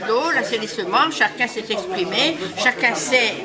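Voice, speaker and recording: A woman's voice: fairly high pitch, lively intonation, normal speed. A woman speaking as a local official in a press interview, plain-spoken, in short emphatic phrases. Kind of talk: press interview, spontaneous statement. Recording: loud crowd chatter behind the voice. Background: many people talking.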